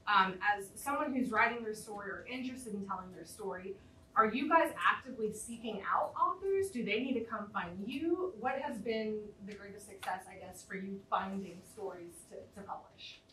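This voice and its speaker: A woman's voice: medium pitch, animated, moderate pace, sharp tone. Woman asking questions in an echoey room. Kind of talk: informal panel question. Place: indoor panel event room. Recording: echoey, distant, faint room noise.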